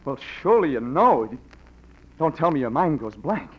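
An actor playing a young reporter in a 1940s radio drama, with brash, fast talk, on a lo-fi old recording. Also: male voice, a little high, sing-song, slight background noise.

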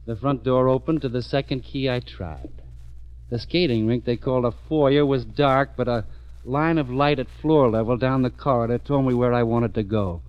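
A man's voice: medium pitch, moderate pace, clean tone, lightly acted. An actor playing a hardboiled police lieutenant who narrates his own case in a dry, clipped, sardonic way, in an old radio drama. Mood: hardboiled narration. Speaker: narrator and detective. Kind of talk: 1940s radio acting. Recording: muffled old radio recording.

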